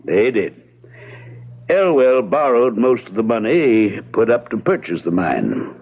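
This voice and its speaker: An actor playing a reserved, evasive American attorney in old-time radio drama style, hedging in a measured, matter-of-fact way. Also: male voice, mid-range voice, sing-song, breathy edge, exaggerated voice. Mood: explaining. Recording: tinny, narrow-band vintage radio broadcast.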